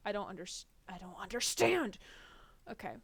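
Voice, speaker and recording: A woman's voice: medium pitch, big pitch swings, moderate pace, fairly bright tone, husky, no room sound. A young woman from New Jersey, a streamer chatting casually and rambling while she games. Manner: chatty, rambling, many fillers. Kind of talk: casual chat while gaming.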